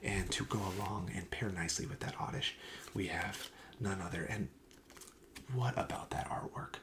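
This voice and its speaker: Male ASMR roleplayer as a shop clerk: low, creaky, half-whispered delivery, gently explaining the goods. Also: moderate pace, background noise. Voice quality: breathy, often dropping to a whisper. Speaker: host playing a card shop clerk in a roleplay. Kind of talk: soft-spoken ASMR roleplay.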